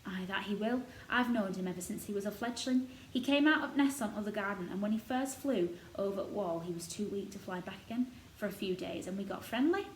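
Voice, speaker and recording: A woman's voice: mid-range voice, brisk, few pauses, close to an everyday voice. Storybook role: blunt old Yorkshire gardener, rustic dialect, played by a woman, echoey room. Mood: fond, friendly. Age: older. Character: gruff old Yorkshire male gardener, blunt, fond of robins. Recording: roomy echo, noticeable background noise.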